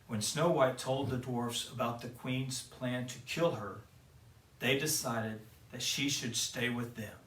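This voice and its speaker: A man reads a children's storybook aloud at a very unhurried pace, in a gentle read-aloud manner. His voice sits in a middle range, and the room sounds echoey with the mic a little away from him. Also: medium pitch. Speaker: storyteller for kids. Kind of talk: reading a picture book aloud. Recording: roomy, mic at a distance.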